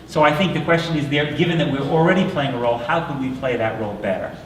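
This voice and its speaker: A man answering audience questions at a university talk, speaking in a thoughtful, measured way with fillers and restarts. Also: medium pitch, slight background noise. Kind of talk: lecture Q&A answers. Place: university lecture room. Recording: echoey room, voice from far off.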